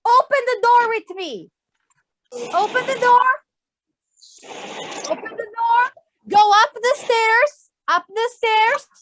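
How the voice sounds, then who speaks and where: A woman's voice: extremely high pitch, takes it slowly, long pauses, a bit bright, dry recording, poor audio. A woman telling a story to a class of children in a theatrical, exaggerated way, repeating phrases as a teacher does. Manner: gasps for dramatic effect.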